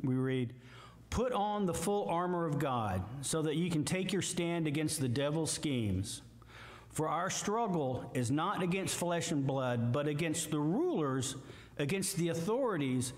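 An older man with a Midwestern American accent, a guest preacher giving a slow, emphatic sermon with scripture readings from a church lectern. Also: fairly high pitch. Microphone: lectern mic, far camera. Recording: echoey church hall from far off.

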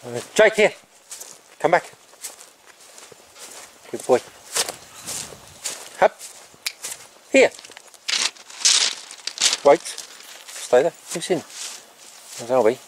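A middle-aged man in a casual, rambling vlog, talking haltingly outdoors on a farm into a handheld camera. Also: on the high side, expressive, a little hiss or hum. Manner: halting, breaking off to talk to animals. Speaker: farm vlogger. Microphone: handheld camera at arm's length.

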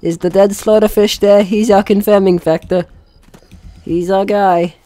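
A woman's voice: mid-range voice, steady tone, measured pace, dark tone, dry recording, faint music behind. A woman giving casual, rambling and playful let's play commentary as host, swearing now and then. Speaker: gaming video host.

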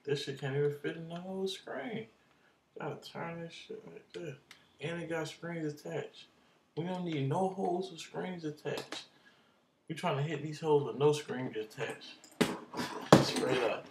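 A young man talking into his webcam in a dark bedroom, bragging in slang, half-singing some lines. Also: a little high, reverberant, noisy background. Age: young adult. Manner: boastful slangy banter, drifts into half-sung lines and laughs. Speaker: vlogger. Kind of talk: casual rambling vlog. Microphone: computer webcam up close.

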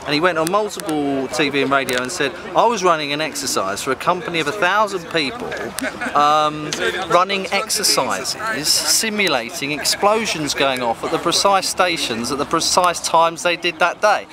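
A man's voice: on the high side, lively intonation, normal speed. An English man in his thirties recounting stories casually outdoors, in an informal, hesitant manner. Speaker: activist interviewee. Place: open field outdoors near a crowd. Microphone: handheld camera at close range. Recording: outdoor crowd and traffic noise.

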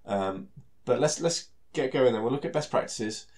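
A man with a British accent and a head cold that makes him sound nasal and stuffy, presenting a technical talk in a relaxed way, full of fillers and repeated words. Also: mid-range voice, normal speed. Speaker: solution architect giving a technical talk.

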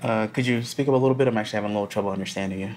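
A man's voice: on the low side, rapid-fire, a little mellow, slight background noise, natural voice. An American man in his thirties playing a blunt, earnest graduate teaching assistant instructing a class in a casual, plain-spoken way. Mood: blunt, hesitant. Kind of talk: classroom instruction, acted dramatization. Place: classroom lab, indoors.

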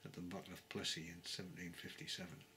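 An older man on a sofa in his living room gives an unscripted history talk to a close webcam. He speaks calmly and pauses to find his words. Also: low-pitched. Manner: calm, measured, pauses to search for words. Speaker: travel vlogger. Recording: clear background noise.

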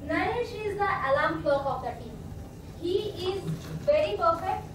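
A woman's voice: extremely high pitch, animated. A young woman, hosting a workshop meetup and explaining a group game to volunteers, with many fillers. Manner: speaks slowly with many fillers, explaining patiently. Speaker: meetup facilitator. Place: hotel meeting room, indoors. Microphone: far camera. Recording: echoey room from far off, noisy.

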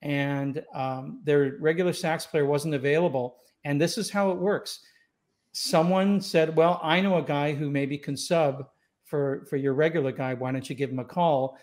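Older guy on a video call from a home room, laid-back storytelling, slightly high voice, lots of ums. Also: fairly even intonation, normal speed, darkish tone. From born in Scotland, raised in Los Angeles. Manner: easygoing, reflective, many fillers and restarts. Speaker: saxophonist guest. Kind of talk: relaxed storytelling interview. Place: home room with wooden doors and shelves. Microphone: studio mic on boom arm, video call.

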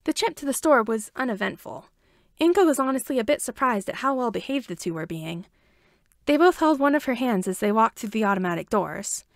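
A woman's voice: fairly high pitch, animated, fairly quick, no echo, clean studio sound. A woman reading a story aloud as an amateur audiobook narrator, in scripted narration.

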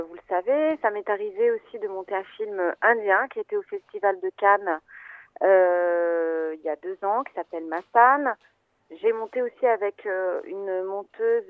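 A woman's voice: medium pitch, dry recording. A woman, a film editor being interviewed, explaining her craft in a hesitant, thoughtful way with many fillers and restarts, heard over a phone line.

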